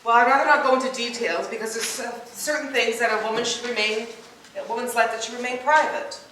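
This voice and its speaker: A woman rehearsing a stage play as an earnest middle-aged wife who justifies herself in a deliberate, theatrical way. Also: on the high side, fairly even intonation, pushed and bright. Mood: hopeful, rationalizing. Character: earnest, self-justifying wife calmly rationalizing her fantasy affair. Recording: distant, echoey room with background noise.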